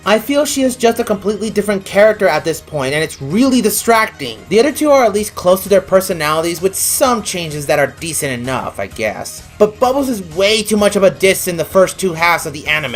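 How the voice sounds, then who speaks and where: Exasperated woman reviewer over music. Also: on the low side. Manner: blunt, exasperated, freely swearing. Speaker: online anime reviewer. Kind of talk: scripted video review commentary.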